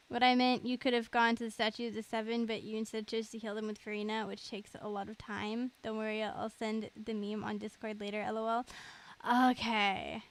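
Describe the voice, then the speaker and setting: A woman livestreamer chatting casually over a game, giggly, rambling and self-deprecating. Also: a little high. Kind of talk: casual gaming livestream chat.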